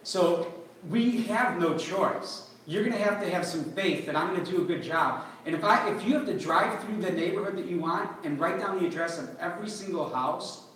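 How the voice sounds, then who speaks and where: A man's voice: high voice, normal speed, a bit bright. A man coaching real estate agents at a live seminar, answering audience questions in an upbeat, conversational way. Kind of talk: live seminar Q&A and role-play. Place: large conference hall. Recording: roomy hall, mic heard from a distance.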